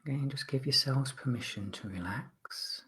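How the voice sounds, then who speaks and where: A man instructing listeners through a guided body scan relaxation, speaking politely in short phrases with pauses. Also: medium pitch, pushed and bright.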